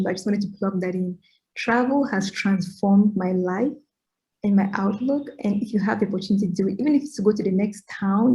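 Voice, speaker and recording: A middle-aged woman from Cameroon speaks with a mellow, warm tone. She is earnest and reflective as she gives advice, and her points often end in a quick "right?". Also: medium pitch, rough recording. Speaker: interviewee. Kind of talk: conversational Q&A talk, advice-giving.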